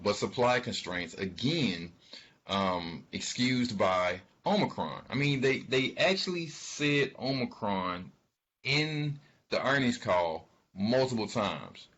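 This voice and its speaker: A man giving informal financial commentary, speaking haltingly and emphatically, repeating words for stress. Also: lowish voice, expressive, fairly bright tone, slight background noise. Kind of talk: informal spoken commentary on an earnings call. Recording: dull, lo-fi sound.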